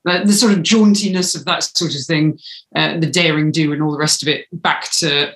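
A woman's voice: low voice, steady tone, normal speed, fairly bright tone. A British woman in her thirties, chatting casually as an interviewed writer. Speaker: interviewed audio drama writer. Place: small room indoors. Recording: echoey room on video call.

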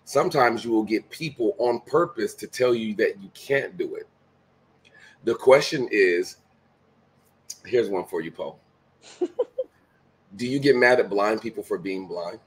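A man's voice: fairly low pitch, a little slow, long pauses. A middle-aged man guesting on a video call, talking in an emphatic, preachy motivational way and repeating his key phrases. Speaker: guest speaker. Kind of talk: motivational conversation. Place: home room, video call.

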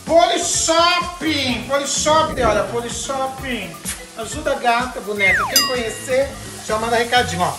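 A middle-aged woman with a Brazilian Portuguese accent cooks on camera in her home kitchen, her fairly high voice tossing out campy slang and playful cries. The camera's own mic catches her in an echoey room, and music plays clearly behind her. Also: animated, a little slow. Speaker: cooking show host. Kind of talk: casual cooking demonstration.

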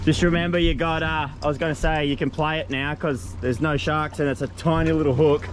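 An Australian man chatting excitedly while fishing on a boat, often repeating himself as he calls out. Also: on the high side, brisk. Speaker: host of a fishing and camping vlog. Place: outdoors on a small boat at sea. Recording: noisy outdoor boat recording.

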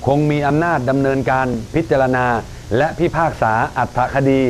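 A man playing a solemn junta announcer, reading decrees in a measured, formal, official manner. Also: medium pitch, fairly even intonation, natural voice. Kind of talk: formal government proclamation read-out. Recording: clear background noise.

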